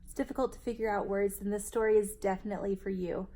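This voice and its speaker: A woman hosting a children's story time, reading aloud expressively and deliberately for young listeners. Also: mid-range voice, fairly even intonation, warm tone.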